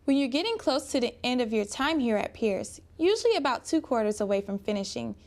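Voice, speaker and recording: A woman's voice: fairly high pitch, animated, fairly quick, darkish tone. A woman presenting scripted information for college students in an instructional, direct way.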